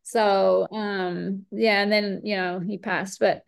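A woman from the UK with an accent mixing British and Australian, chatting casually as an interview guest, relaxed and rambling with many fillers. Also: medium pitch, takes it slowly, gravelly, clean tone. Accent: mix of British and Australian. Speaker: guest, retired pro triathlete.

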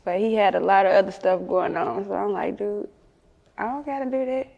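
A woman's voice: medium pitch, a little fast, gravelly, in a large hall, lo-fi sound. A woman with a Chicago accent chatting casually as an interview guest, candid and slangy. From Chicago, United States. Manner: candid, slangy, often chuckling.

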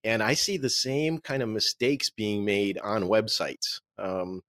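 A man in his forties, born in Russia with Russian as his first language, answering interview questions as an author in a relaxed, joking way. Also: lowish voice, a little slow, no room sound. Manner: relaxed, joking, laughs at own quips. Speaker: author and marketing agency owner.

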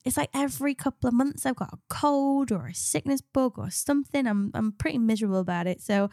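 Woman podcast host w a stuffy cold, highish voice, close dry sound, chatty and jokey. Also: a little fast, mellow, no echo. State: bunged up with a cold. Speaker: podcast co-host, business psychologist. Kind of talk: chatty podcast hosting with scripted reads.

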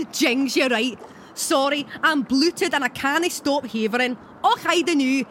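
A woman's voice: high voice, measured pace, fairly bright tone, slight background noise. A woman playing an ancient, foul-mouthed, hard-drinking female Scottish lake monster in an audio comedy, brash and full of Scots slang. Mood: rambling. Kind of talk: audio fiction comedy acting. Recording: water and boat ambience behind.

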